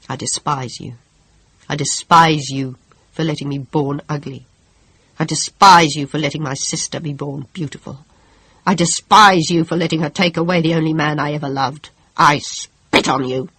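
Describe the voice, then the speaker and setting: A reader voicing an anguished young woman who feels plain and unloved, moving between hushed pleading and shrill, high screaming in an audiobook. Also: fairly quick, creaky, few pauses, slightly rough, exaggerated voice. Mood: bitter. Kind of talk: audiobook character reading.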